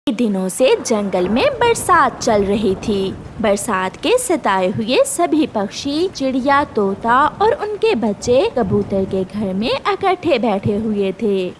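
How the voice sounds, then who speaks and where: A woman's voice: a little high, slight background noise. A woman narrating a scripted children's story as its storyteller.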